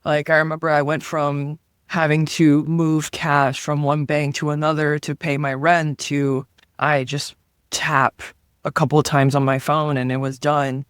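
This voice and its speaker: A woman from Taiwan, a comedian and guest on an interview, telling stories in an animated, chatty way and acting out the voices she quotes. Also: deep voice, a little slow, clear, resonant tone, dry recording, studio quality. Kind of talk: casual interview storytelling.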